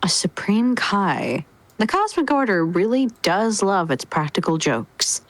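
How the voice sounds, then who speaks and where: A woman's voice: medium pitch, big pitch swings, a little slow, dry recording, poor audio. A woman playing a haughty, coolly menacing male alien overlord with a formal, sardonic drawl. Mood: dry, haughty.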